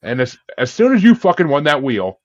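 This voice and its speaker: A man's voice: fairly high pitch, big pitch swings, a little fast, dry recording. A man hosting a casual music podcast, chatting informally and swearing freely. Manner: casually profane. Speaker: host of a music ranking show. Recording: dull, lo-fi microphone sound.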